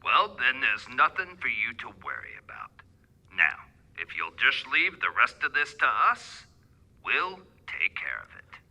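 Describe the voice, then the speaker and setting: A man's voice: medium pitch, wildly swooping pitch, measured pace, creaky, pushed and bright, exaggerated voice, poor audio. A man playing a weary, brusque police sergeant in a suspense radio play, condescending, placating and dismissive. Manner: condescending, placating, matter-of-fact.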